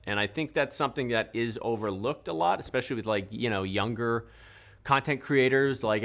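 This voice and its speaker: A man's voice: mid-range voice, fairly bright tone. A man in his thirties hosting a live call-in stream from a dim studio desk, talking loosely with fillers and restarts. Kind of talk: casual live-stream chat.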